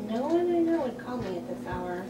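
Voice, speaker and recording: Woman reading a lively, teasing friend, roomy. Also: a little high, brisk, music in the background. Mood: dry, amused. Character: teasing, breezy, busy suburban woman friend. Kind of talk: scripted comedy dialogue at a table read. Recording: echoey room, distant mic, clear noise.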